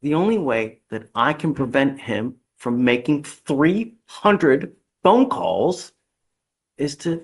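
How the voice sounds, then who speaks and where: A man's voice: mid-range voice, big pitch swings, slow. A man, a judge, ruling firmly and curtly from the bench in a courtroom. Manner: firm and curt.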